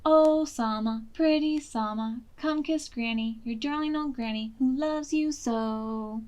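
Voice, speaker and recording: A woman's voice: on the high side, slow, darkish tone. A woman voicing a doting, easily frightened old grandmother in a storybook, with exaggerated delivery. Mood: doting, singsong.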